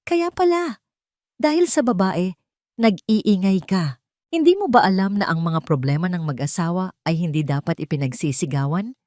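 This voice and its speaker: A woman's voice: lowish voice, lively intonation, normal speed, a little mellow, dry recording, studio quality, close to an everyday voice. A woman voicing a cold, wealthy older mother-in-law who scolds with contempt in an audio drama. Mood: scornful.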